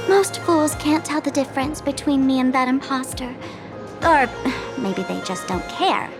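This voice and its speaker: A woman's voice: high voice, a little slow. An actress playing a mischievous, smugly self-assured young woman trickster in a video game, with a playful, theatrical manner. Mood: smug, sly. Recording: studio voice over game music.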